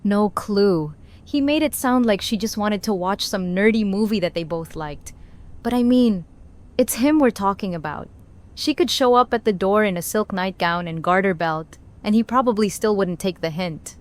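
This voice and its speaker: Audio drama role: blunt, sardonic woman actress, medium pitch, unbothered and casual. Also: normal speed, natural voice. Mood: amused, offhand. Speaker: voice actor in a fan audio drama. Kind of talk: acted audio drama dialogue.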